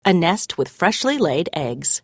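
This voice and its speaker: A woman reads a children's story aloud in an expressive, measured style. Her voice sits on the low side and moves through lively swings of pitch. The recording is close and dry. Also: no room sound. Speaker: read-aloud narrator.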